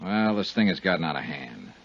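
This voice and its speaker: A man's voice: deep voice, big pitch swings, fairly quick, gravelly, husky, no room sound, heavily acted. A man playing a tough, terse American insurance investigator in a 1950s radio detective drama. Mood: touchy, firm. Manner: terse, matter-of-fact. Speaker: actor playing lead investigator and narrator. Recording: muffled 1950s radio recording with music.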